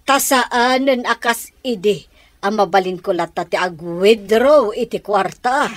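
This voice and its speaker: A woman's voice: medium pitch, measured pace, dry recording, lightly acted. A woman with a Filipino accent acting a scheming, money-minded middle-aged mother in a radio drama.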